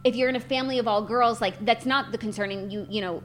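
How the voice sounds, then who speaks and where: A woman's voice: on the high side, fast, a little hiss or hum. Chatty woman podcast host. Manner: chatty, lots of "like" fillers. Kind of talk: casual chat.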